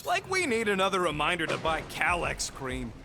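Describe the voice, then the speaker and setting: Snarky gruff raccoon merc for a game, irritable, pitch jumps all over, noisy background. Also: male voice, huge pitch swings, normal speed. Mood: sarcastic, irritable. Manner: gruff, sarcastic, streetwise slangy talk. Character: small cocky, irritable male raccoon mercenary. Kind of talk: video game voice acting. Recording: battle noise behind the voice.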